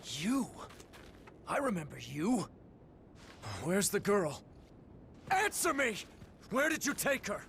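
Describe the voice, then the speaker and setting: High-pitched, sneering thug. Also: male voice, sing-song, takes it slowly, creaky, long pauses, a bit bright, a little hiss or hum, exaggerated voice. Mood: angry demanding. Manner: sneering, taunting, confrontational. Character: belligerent young male revenant thug, bitter and menacing. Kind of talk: dramatic video game cutscene acting.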